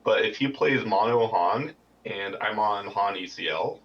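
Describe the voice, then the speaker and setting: A man discussing game strategy casually in a measured, explanatory way, as a player seeking advice over a voice call. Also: lowish voice, a bit bright. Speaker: card game player getting deck advice. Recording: dull, distant voice-call microphone.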